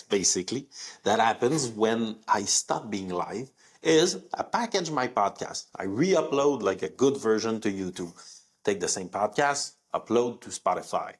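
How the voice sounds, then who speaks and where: A young man with a French accent is talking through his plans on his own live podcast, speaking English as a second language. His voice sits at a medium pitch, and he keeps it loose and chatty, with plenty of fillers. He leans up in pitch on the words he wants to stress. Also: slightly rough. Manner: conversational, many fillers, rising emphasis on key words. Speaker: podcast host. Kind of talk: casual solo talk on a live podcast.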